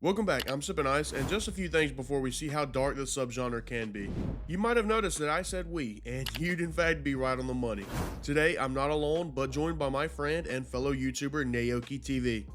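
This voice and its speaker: A man's voice: medium pitch, fairly quick, background noise. A man hosting a video commentary, narrating in an informal, slangy, conversational way. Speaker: YouTube host.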